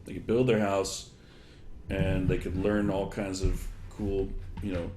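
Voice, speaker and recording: An echoey room and some background noise surround this man's rather low voice. He talks conversationally as an interview guest. His answers come haltingly, built up from one example after another as he thinks. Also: level tone, darkish tone. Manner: halting, thinking aloud in loose chains of examples. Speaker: interviewed guest, education technology expert.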